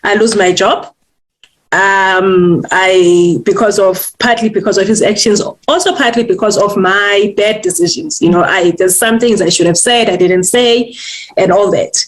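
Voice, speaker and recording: A woman in her thirties, an author telling her own story as a radio guest, candid and halting, with many restarts. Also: medium pitch, poor audio. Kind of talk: personal storytelling in an interview.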